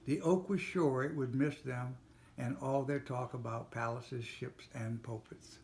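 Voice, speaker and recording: A man's voice: medium pitch, fairly even intonation, darkish tone. Older man reading a storybook, roomy sound. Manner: halting reading with small slips and self-corrections. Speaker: parent reading a storybook aloud. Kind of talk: storybook read-aloud. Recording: roomy, echoey home recording with faint noise.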